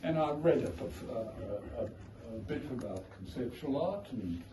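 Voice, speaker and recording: A man's voice: medium pitch, lively intonation, a little slow. A man with a South African accent, telling wry, wandering anecdotes as an author at his book launch. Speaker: author giving a launch talk. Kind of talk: informal speech to a crowd. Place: art gallery, indoors. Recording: echoey gallery, distant, noisy.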